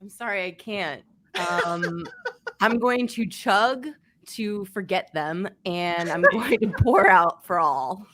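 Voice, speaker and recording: A woman's voice: medium pitch, animated, takes it slowly, a crowd in the background. A woman chatting casually as a sports podcast panelist, blunt and sardonic, with some casual swearing.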